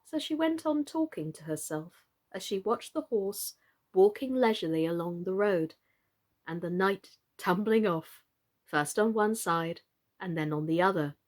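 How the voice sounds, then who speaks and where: A British woman narrating a children's story in an expressive, theatrical and deliberate storyteller's manner. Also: medium pitch. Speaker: storyteller, actress.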